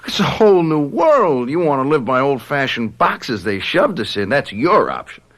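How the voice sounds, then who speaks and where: An actor playing a callous young hip boyfriend in a radio drama, slangy, flippant and evasive. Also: male voice, medium pitch, big pitch swings, normal speed, fairly bright tone. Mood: breezy, defiant.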